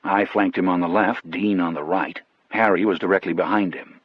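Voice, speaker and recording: A man narrating an audiobook in the first person, telling the story in a measured, wry way. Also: deep voice, steady tone, slightly rough. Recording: dry but muffled, dull audio.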